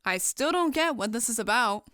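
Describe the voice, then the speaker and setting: A woman's voice: a little high, expressive, normal speed, a bit bright, no room sound, studio quality. A woman voicing a skeptical, sarcastic young female pegasus in an audio fan fiction story. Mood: sullen. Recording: music behind the voice.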